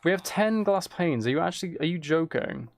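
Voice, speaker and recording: A man casually commentating on his game and chatting to viewers, muttering along in a low-key way, close to the mic. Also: lively intonation, a little fast. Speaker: streamer playing a game while chatting with viewers.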